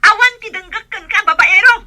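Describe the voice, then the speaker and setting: A woman's voice: very high-pitched, a little fast, noisy background. A woman playing a jealous, nagging wife in a radio drama, with an Ilocano accent. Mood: furious, accusing.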